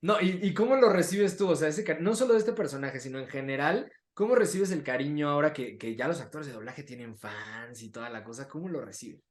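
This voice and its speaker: A man's voice: a little high, expressive, a little fast, hardly any pauses. A man hosting a casual interview in a conversational style. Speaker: interview host. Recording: roomy, echoing sound.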